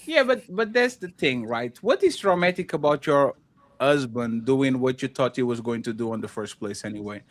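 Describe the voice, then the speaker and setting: Russian-accented host with animated, swooping pitch. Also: normal speed, no room sound. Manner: teasing, interjecting, searches for words. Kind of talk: casual group chat.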